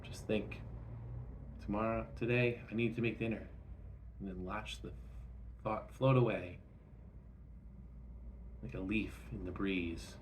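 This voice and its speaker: Man, meditation guide, lowish voice, gentle instructional delivery, short phrases with pauses, noticeable background noise.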